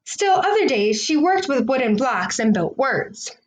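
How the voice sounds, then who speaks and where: A woman reading a children's storybook aloud, with expressive, deliberate phrasing. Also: high voice, a little hiss or hum. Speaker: storybook reader.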